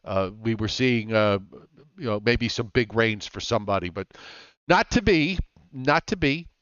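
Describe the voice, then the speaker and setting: A man's voice: medium pitch, animated, moderate pace, a bit bright, clean tone, dry recording, lo-fi sound. A man hosting a live weather show, chatting casually and teasing his co-host, with frequent fillers.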